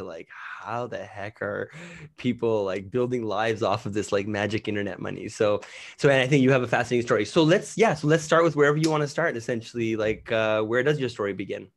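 A man from Canada hosting a casual interview from a home studio, asking curious questions in a chatty way with lots of fillers. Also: on the low side. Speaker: podcast host. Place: home studio with green lighting. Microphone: headset with studio mic.

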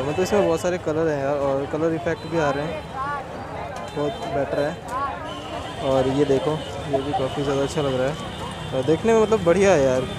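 A man, chatting casually to his viewers as a vlogger. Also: a little high. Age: 20s. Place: outdoors, selfie intro and open-air street market. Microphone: handheld camera or phone at arm's length.

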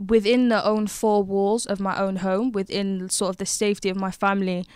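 A woman's voice: medium pitch, level tone, moderate pace, darkish tone, smooth, no room sound. A young woman, talking frankly and animatedly as a rapper guest in a studio lounge, into a handheld mic. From Wales. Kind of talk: casual conversation. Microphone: handheld microphone.

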